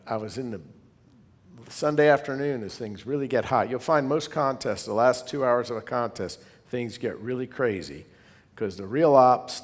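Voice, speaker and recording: A man giving an informal, conversational slide presentation to fellow hobby club members, wandering into asides as he goes. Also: on the low side. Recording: long hall reverb.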